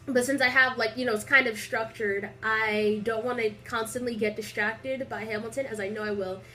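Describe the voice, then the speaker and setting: Young woman, stuffy nose, rambling casual vlog, slightly high voice, faint music behind, webcam in small room. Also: moderate pace. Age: young adult. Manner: rambling, filler-heavy, animated storytelling. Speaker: vlogger.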